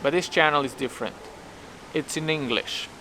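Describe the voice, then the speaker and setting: A man's voice: fairly high pitch, moderate pace, sharp tone, slight background noise, rough recording. A young man with a Serbian accent talking to camera in a hesitant, self-questioning way, often restarting his sentences, recorded outdoors while waiting for a taxi. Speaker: vlogger. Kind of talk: casual thinking-aloud vlog.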